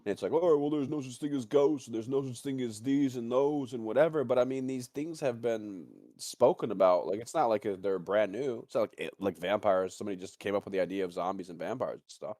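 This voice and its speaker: A man co-hosting a podcast in casual, opinionated chat, rambling and excitable, swearing freely. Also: mid-range voice, brisk, hardly any pauses, no echo, clean studio sound. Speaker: podcast co-host.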